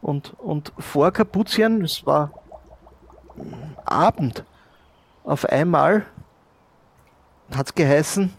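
A man improvising the part of a modest shopkeeper and former sailor, speaking haltingly and repeating words as he searches for them. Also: fairly high pitch, lively intonation, creaky, husky. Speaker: player in an improvised pirate audio adventure. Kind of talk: improvised in-character role-play dialogue.

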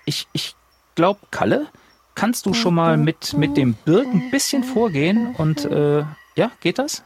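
Lively man in a roomy, echoing space. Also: high-pitched, unhurried, crowd noise. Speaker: player in role-play adventure. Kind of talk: improvised in-character dialogue, casual. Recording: roomy, reverberant, faint background noise.

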